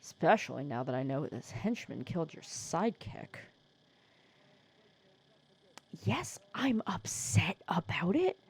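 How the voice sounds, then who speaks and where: A woman acts out a sly female supervillain with a soft spot, in an intimate ASMR audio roleplay. Her voice is lowish and very breathy, with lively swings in pitch. She talks in a casual, teasing, persuasive way, sardonic but caring underneath. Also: moderate pace, full character voice. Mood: indignant.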